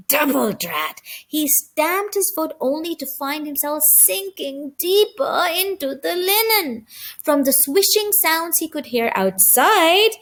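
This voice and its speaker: A woman reading a children's story aloud as an audiobook narrator, with expressive delivery. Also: sits high. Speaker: audiobook reader.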